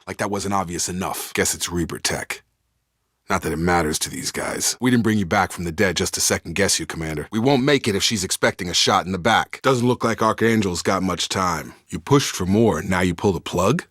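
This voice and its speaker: Sci-fi game squadmate: a steady, direct soldier, young, deep-pitched, recorded dry. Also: male voice, fairly quick, no room sound. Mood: measured, matter-of-fact. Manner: direct, clipped military phrasing. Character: steady, principled male soldier in a sci-fi crew. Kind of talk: video game voice acting.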